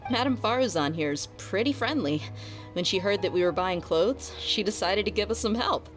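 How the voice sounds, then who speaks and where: A woman's voice: mid-range voice, lively intonation, moderate pace, faint music behind, close to an everyday voice. A woman playing a friendly, polite, slightly hesitant young woman in an anime video game.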